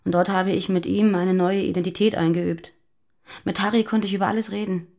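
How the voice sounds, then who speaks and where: A woman playing a fearful, guarded former militant on the run in a naturalistic radio drama, confiding and halting. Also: medium pitch, flat delivery, a little mellow, close to an everyday voice.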